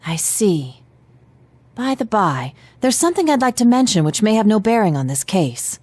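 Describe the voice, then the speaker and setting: Formal young detective, lively intonation. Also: female voice, medium pitch, moderate pace, no room sound. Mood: thoughtful, serious. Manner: polite, precise, formal diction. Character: composed, formal teenage detective, analytical and earnest. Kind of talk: video game voice acting.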